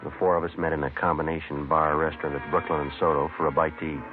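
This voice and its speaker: Very deep deadpan radio police sergeant. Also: male voice, fast talker. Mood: flat, factual. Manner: deadpan, clipped, matter-of-fact. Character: calm, businesslike male police sergeant who narrates the case. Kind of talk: 1950s radio drama narration and dialogue. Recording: tinny vintage radio, music underneath.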